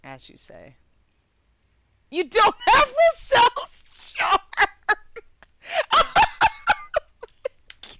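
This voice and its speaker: Animated woman streamer with a bright voice. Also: fairly high pitch, no room sound, lo-fi sound. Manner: excitable, giggly, sweary; thinks aloud, meandering. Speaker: game streamer. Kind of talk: casual live game commentary.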